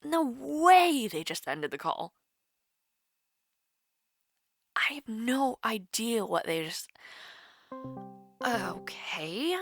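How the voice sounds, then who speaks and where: Woman acting a flustered young woman, creaky voice. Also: fairly high pitch, full character voice. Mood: bewildered, insistent. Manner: halting, flustered, breathy sighs and whispers. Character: nervous, smitten young woman confessing to online friend. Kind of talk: intimate romantic audio roleplay.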